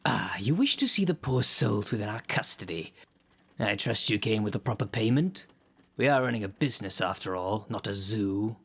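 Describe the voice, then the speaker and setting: A man acts out a haughty demon caretaker in an audio drama, husky and on the low side. He speaks formally and grandly to a visitor, with the dry sarcasm of a salesman of monsters. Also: lively intonation, moderate pace, fairly bright tone, full character voice. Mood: dry, businesslike. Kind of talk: scripted audio roleplay, addressing the listener.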